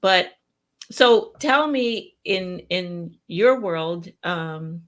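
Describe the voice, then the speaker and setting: A woman with a somewhat low voice talks enthusiastically and reflectively in a relaxed conversation. Fillers appear naturally as she thinks aloud. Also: very slow. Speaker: co-host. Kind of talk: casual chat.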